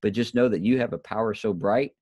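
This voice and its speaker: A man with a fairly low voice hosts a motivational group talk. He addresses listeners directly and urges them on in plain, informal words. The sound is dry, with very little room in it. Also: fairly quick, dark tone, slightly rough, no echo. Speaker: host of a motivational group session.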